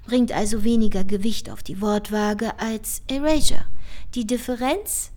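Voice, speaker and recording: An actress playing a clever, playful code researcher in a naturalistic radio drama, speaking precisely with a teasing edge. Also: female voice, a little high, measured pace, darkish tone, natural voice. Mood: precise, matter-of-fact.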